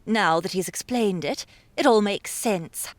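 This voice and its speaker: An actress playing a bold, imperious princess in an audiobook drama, brash and theatrical, with flowery, bossy delivery. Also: female voice, mid-range voice, unhurried, no echo, close to an everyday voice. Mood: flowery, admiring.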